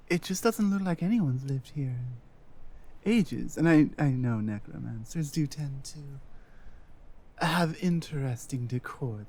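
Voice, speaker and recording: A woman playing an earnest, loyal warrior-healer companion in a fantasy ASMR roleplay, with a hesitant, halting delivery. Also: low-pitched, dark tone, exaggerated voice. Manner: hesitant, halting, with stammered restarts. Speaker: audio roleplay performer.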